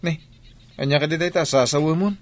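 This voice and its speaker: An actor playing an evasive, pleading unfaithful husband in a Filipino radio drama, with a defensive manner and a Filipino accent. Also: male voice, fairly high pitch, fairly quick, lightly acted.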